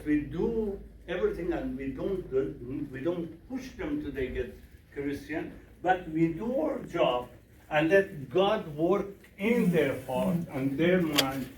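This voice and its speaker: Middle aged iranian guy w persian accent, minister asking the room questions, kinda high voice, echoey and distant. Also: slight background noise. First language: Farsi. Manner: broken English, repeats questions to the audience. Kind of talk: workshop talk with audience questions.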